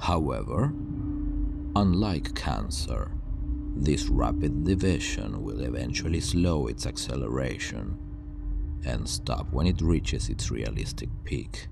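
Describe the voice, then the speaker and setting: A man playing a calm, obsessive scientist villain with superpowers, soothing yet menacing, speaking in a measured, deliberate ASMR roleplay monologue. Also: a bass voice, creaky, noisy background, exaggerated voice. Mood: intent, fascinated. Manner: measured, deliberate, pausing, reassuring. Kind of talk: ASMR roleplay, intimate scripted monologue to the listener.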